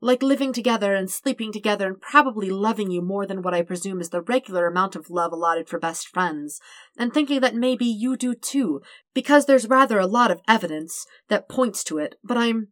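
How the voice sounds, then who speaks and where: A woman's voice: mid-range voice, expressive, brisk, fairly bright tone, no room sound, clean studio sound, voice pushed up, lightly acted. A woman voicing a flustered, dramatic, lovestruck young man in a story, rambling in wordy formal phrases. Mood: nervous rambling.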